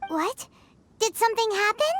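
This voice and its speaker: An extremely high, childlike fairy companion from an anime game. The squeaky voice leaps up and down with excitable exclamations. There is clear noise behind it. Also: female voice, moderate pace. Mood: alarmed. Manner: excitable, exclamatory, speaks of self in third person. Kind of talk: anime-style game dialogue.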